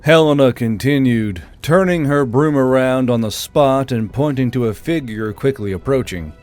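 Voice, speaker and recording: A man's voice: mid-range voice, normal speed, a little mellow. A man reading scripted fantasy story narration as the narrator. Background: background music.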